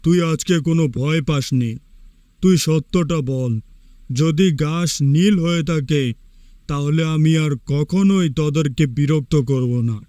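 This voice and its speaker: A man's voice: on the high side, takes it slowly, mellow, no room sound, clean studio sound. A man playing a proud, indignant tiger in a cartoon fable, scolding with emphasis. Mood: insistent. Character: proud, indignant male tiger, wise and powerful.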